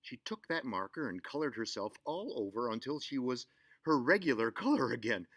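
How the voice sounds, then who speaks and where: A man reading a children's story aloud in an expressive, theatrical way. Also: medium pitch, normal speed, no room sound, studio quality. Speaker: storyteller and show host.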